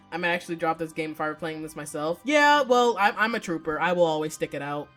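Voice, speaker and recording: Animated, sweary woman livestreamer over music. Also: sits low, a little fast. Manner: animated, exclamatory, slangy and profane. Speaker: live streamer playing a visual novel. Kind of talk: casual game livestream commentary.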